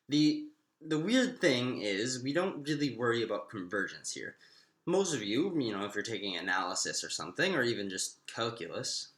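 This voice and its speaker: A man teaches mathematics informally in a roomy, echoing space. His pitch moves around in a lively way as he works things out aloud. The delivery is halting, with stretched pauses between phrases. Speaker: math lecturer. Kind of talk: informal lecture, working through a derivation aloud. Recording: roomy, echoing room sound.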